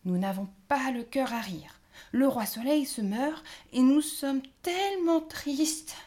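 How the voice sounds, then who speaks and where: A woman's voice: high voice, lively intonation, brisk, dark tone, voice pushed up. A woman voicing a mournful little fairy. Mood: tearful. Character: sad, gentle fairy, tearful.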